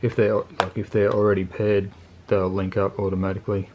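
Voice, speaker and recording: A man with a lowish voice talks through a piece of gear off the cuff. His delivery is very monotone and laid-back, with plain, colloquial phrasing. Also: a little fast, muffled recording, background noise. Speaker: motorcycle video channel host reviewing gear. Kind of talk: casual unscripted product review.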